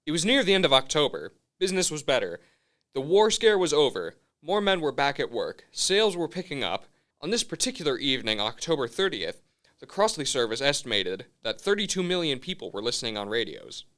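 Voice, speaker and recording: A man's voice: a little high, sing-song, a little fast, fairly bright tone. A man playing a 1930s radio show announcer in an old-time presenting style. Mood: narrating.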